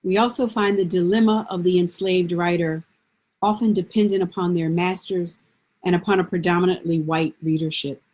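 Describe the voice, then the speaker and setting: A woman presenting literary history in measured, scripted narration. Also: on the low side, steady tone, a little mellow.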